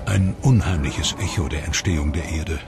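A man narrating a science documentary in a calm, measured, scripted delivery. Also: rumbling low. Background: music.

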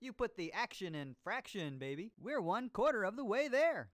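A man's voice: high voice, animated, moderate pace. A man voicing a groovy, flamboyant disco cooking show host in an educational cartoon game, talking in theatrical jive over music. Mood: showy, upbeat.

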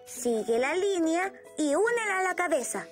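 A cheerful young boy rabbit from a children's cartoon. The voice is high and very expressive, helpful and encouraging, over clear background music. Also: normal speed. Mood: cheerful, instructive. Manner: bright, encouraging, explains step by step.

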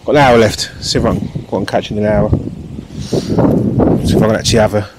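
Man muttering lakeside commentary over loud wind. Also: fairly low pitch, fairly even intonation, moderate pace. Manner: muttered, self-deprecating asides to the camera. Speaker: match angler and channel host. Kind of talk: casual running commentary while fishing. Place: outdoors at a lakeside fishery, breezy countryside. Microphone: action camera mounted beside him. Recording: loud wind and outdoor noise on a camera mic.

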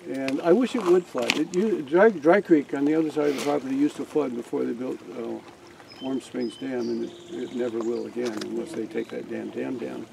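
A man's voice: mid-range voice, fairly even intonation, fairly quick, vocal fry, background noise. An older man giving a casual walking tour of his farm outdoors, talking to a group with many restarts and fillers. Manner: rambling, frequent restarts and fillers. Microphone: camera among a standing group.

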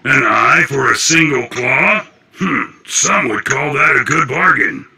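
An actor playing a grave, gruff male viking warrior in a fantasy game, gravelly and solemn, speaking in formal storybook phrases. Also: medium pitch, expressive, measured pace, noisy background. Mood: probing. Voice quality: gravelly, husky. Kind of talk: scripted fantasy game dialogue.

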